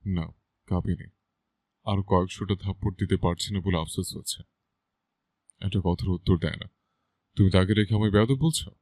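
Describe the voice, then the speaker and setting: Casting: male actor as furious young son, low-pitched, forceful, closely recorded audio story. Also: brisk, long pauses, dry recording. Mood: furious, defiant. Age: young adult. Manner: forceful, angry, scolding. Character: hot-tempered adult son, newly married, raging at his parents. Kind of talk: dramatised audio story acting.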